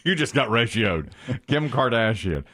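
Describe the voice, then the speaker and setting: A man chatting on a morning radio show, his voice swinging lively up and down as he tells a story. He slips into mimicking other people's voices now and then, speaking into a studio mic. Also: medium pitch, unhurried, dry recording. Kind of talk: casual radio banter.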